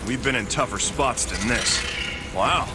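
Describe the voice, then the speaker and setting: A man's voice: medium pitch, unhurried, heavy background noise, close to an everyday voice. A man playing a wisecracking robot soldier with a wry, breezy manner in a video game. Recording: loud music and effects behind.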